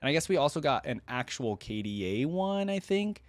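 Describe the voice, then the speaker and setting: A man's voice: fairly high pitch, big pitch swings, normal speed, no room sound. A man hosting a reaction video with casual, rambling, enthusiastic commentary.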